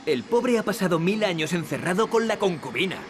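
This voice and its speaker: Wry young adventurer, lively pitch swings. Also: male voice, fairly quick, a little hiss or hum. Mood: teasing. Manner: sardonic, teasing. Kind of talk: video game dubbing in Spanish.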